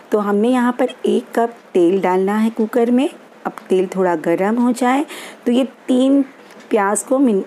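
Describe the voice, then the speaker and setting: A woman hosting a home cooking channel, calmly explaining each step of a recipe in an easygoing, instructive way. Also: mid-range voice, slight background noise. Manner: easygoing and instructive, repeats steps. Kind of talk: home cooking tutorial voice-over. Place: home kitchen.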